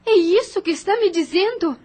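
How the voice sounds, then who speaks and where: A worried young sister with a high, bright voice who questions her brother anxiously and clings to her faith, as a woman acts her out. She speaks in an audio drama. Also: normal speed.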